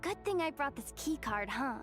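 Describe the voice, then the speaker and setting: High, cocky young treasure-hunter heroine for a video game, confident playful leader, music underneath. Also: female voice, big pitch swings, normal speed. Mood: brisk, focused.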